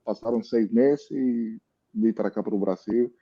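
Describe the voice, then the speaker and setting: A man's voice: fairly low pitch, a little slow, slightly rough, muffled recording. A man from Cuba with a Cuban Spanish accent, a meteorologist speaking Portuguese as a guest in a casual interview, tagging his sentences with "né?". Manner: thoughtful, many "né?" tags.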